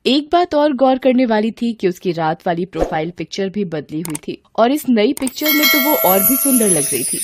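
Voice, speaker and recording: A woman's voice: on the high side, big pitch swings, moderate pace, few pauses, background noise. A woman narrating a scripted story in the third person as a storyteller.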